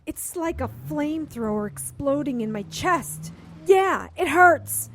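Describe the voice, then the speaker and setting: A woman's voice: sits high, lively intonation, unhurried. An actress playing an earnest, anxious woman with a dangerous fire power in an audio drama. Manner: earnest, questioning. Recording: road noise behind voice. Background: car engine ambience.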